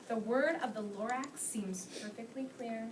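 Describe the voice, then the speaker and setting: A woman's voice: fairly high pitch, measured pace. A woman teacher reading aloud to young children and asking them questions, instructive and full of praise, recorded from a distance in a classroom. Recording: echoey noisy classroom, distant microphone.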